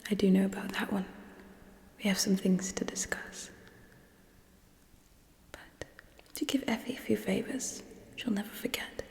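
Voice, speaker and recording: A young woman playing a cold, scheming alien queen in an ASMR roleplay, speaking in an imperious, condescending, hushed way. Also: mid-range voice, unhurried, creaky, long pauses. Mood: sly, condescending. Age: young adult. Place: small indoor set with draped backdrop. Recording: echoey reverberant close whisper.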